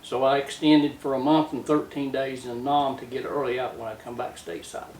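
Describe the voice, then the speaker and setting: A man's voice: mid-range voice, little pitch movement, a little fast, darkish tone. An older man with a Southern US accent, reminiscing casually in a plainspoken, folksy way. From Tennessee, United States. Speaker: interview guest, retired-era trucker telling his life story. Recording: roomy, distant mic with faint noise.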